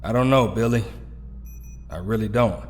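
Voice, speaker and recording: A man's voice: fairly low pitch, moderate pace, a little hiss or hum, natural voice. An actor playing a somber, loyal young male hero in an audio drama. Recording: reverberant hall sound.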